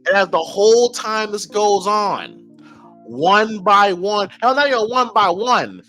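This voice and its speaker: Higher-pitched American man over music. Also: lively intonation, unhurried, pushed and bright. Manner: informal, emphatic, repeats phrases as he thinks aloud. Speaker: wrestling podcast host. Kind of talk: casual opinion commentary.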